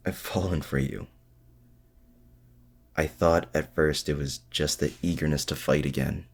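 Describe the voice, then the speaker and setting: Man acting a very deep, gravelly lovestruck villain. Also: darkish tone, exaggerated voice. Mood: confessing, nervous. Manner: halting, earnest, with hesitant restarts. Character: lovestruck male supervillain, vulnerable, protective, confessing. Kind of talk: intimate ASMR audio roleplay.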